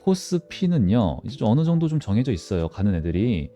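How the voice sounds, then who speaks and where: A man with a low, soft-edged, mellow voice talks viewers through the stock market. His intonation moves up and down in a lively way as he poses questions and answers them. Faint music plays behind him. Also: fairly quick. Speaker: stock analysis channel host. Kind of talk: informal market commentary to viewers.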